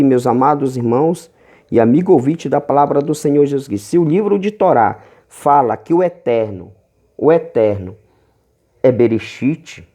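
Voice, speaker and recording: A man with a Brazilian accent preaching in a home Bible-teaching video, reading verses slowly and stretching key words, calling listeners brothers. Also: mid-range voice, mellow. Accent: Brazilian Portuguese. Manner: fervent, calls listeners brothers often.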